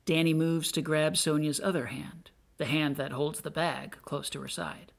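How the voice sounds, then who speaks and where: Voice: medium pitch, fairly even intonation, normal speed, creaky. A narrator reading scripted stage directions for an audio play in plain descriptive sentences.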